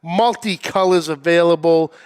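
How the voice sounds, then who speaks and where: A man's voice: on the high side, normal speed, a little mellow, clear, resonant tone. A man hosting a casual livestream with banter, announcing things in a hyped, announcer-like way. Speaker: show host.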